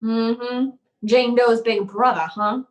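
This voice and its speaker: A woman's voice: on the high side, fairly even intonation, slow pace. A woman playing a tough, wisecracking, unflappable private detective in an old-time radio drama, with clipped, slangy delivery. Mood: cool, sardonic.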